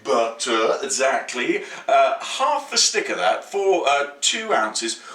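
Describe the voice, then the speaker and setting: A middle-aged man chats his way through a home cooking demo, bright and lively in tone, playful and easily excited. His voice bounces around a roomy kitchen and reaches the mic from a little way off. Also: medium pitch, takes it slowly, distant mic, slight background noise. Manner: excitable, playful and chatty, jokes and rambles. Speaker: cooking show host. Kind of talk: chatty home cooking demonstration.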